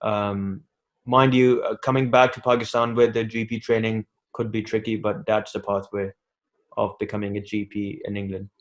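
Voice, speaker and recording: A man's voice: on the low side, flat delivery, normal speed. A man on a webinar panel, giving casual advice as a doctor with frequent ums and restarts over a video call. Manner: hesitant, many ums and restarts.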